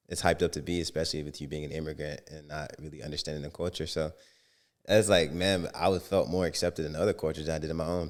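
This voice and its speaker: A young American man co-hosting a podcast, talking in a lively, casual, slangy way. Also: a bass voice. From United States. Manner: animated, conversational, slangy. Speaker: podcast co-host.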